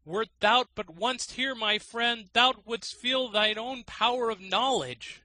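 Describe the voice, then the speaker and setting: A man voicing a storybook trickster who boasts of lofty wisdom in slow, declamatory archaic speech. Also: extremely high pitch, pushed and bright, dry recording, pitched above the performer's own voice, exaggerated voice. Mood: grand, rapturous. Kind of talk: storybook character reading.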